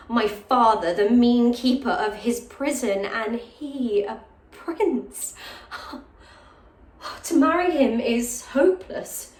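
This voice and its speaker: A woman's voice: high voice, a little mellow, pitched above the performer's own voice. A lovestruck young maid from classical theatre, acted by a woman, speaks in a raised, emotional voice full of exclamation. She is breathless and impulsive, in heightened Shakespearean verse style. The room around her is echoey. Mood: despairing, exasperated. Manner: emotional, exclaiming, with gasps and sighs. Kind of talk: classical stage monologue, Shakespearean acting.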